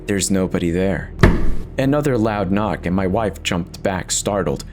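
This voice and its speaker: A man's voice: low-pitched, animated, unhurried, noisy background. A man giving an intense, theatrical first-person reading of a horror story as its narrator.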